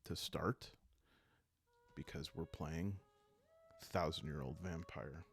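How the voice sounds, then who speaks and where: A man speaking calmly and soothingly in an unhurried way, hosting a relaxing role-playing series meant to help listeners fall asleep. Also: extremely low pitch. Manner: calm, unhurried, soothing delivery. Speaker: host of a solo role-playing video series. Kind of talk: calm, sleep-aid ASMR narration to viewers. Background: background music.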